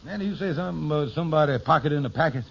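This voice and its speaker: Smug scheming hustler, high voice, stammering, wheedling, old radio comedy, muffled vintage sound. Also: male voice, racing, gravelly, very noisy. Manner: wheedling, stammering, grandiose malapropisms. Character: scheming, self-satisfied, fast-talking small-time male schemer. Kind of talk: 1950s radio sitcom acting.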